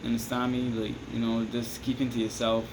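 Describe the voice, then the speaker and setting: A man's voice: medium pitch, completely flat delivery, brisk, background noise. A Barbadian man rambling through casual advice to his viewers, restarting sentences and asking "you understand me?" again and again. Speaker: vlogger giving advice. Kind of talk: casual advice monologue to camera.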